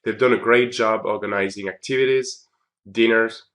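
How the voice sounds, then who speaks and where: A man's voice: on the low side, fairly even intonation, moderate pace, fairly bright tone. A man giving a chatty conference recap on his video blog, with many ums and uhs. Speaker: video blogger.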